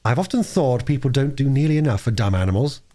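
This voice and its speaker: A man's voice: medium pitch, animated, fast talker, dark tone, pitched above the performer's own voice, close to an everyday voice. A man voicing a bossy, self-righteous young boy in a storybook reading.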